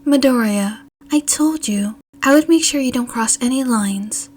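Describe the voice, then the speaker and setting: A woman's voice: a little high, measured pace, darkish tone, husky. A woman voicing a confident, caring teenage girl in a narrated story. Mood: confident, reassuring.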